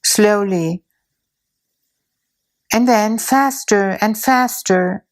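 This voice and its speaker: A woman narrating a guided imagery story in a slow, soothing way, with long pauses. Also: mid-range voice.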